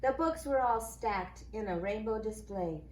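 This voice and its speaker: Unhurried woman reading a children's storybook. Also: mid-range voice, animated, a little mellow. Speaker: school staff reading a picture book aloud. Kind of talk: expressive children's storybook read-aloud. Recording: echoey room, faint background noise.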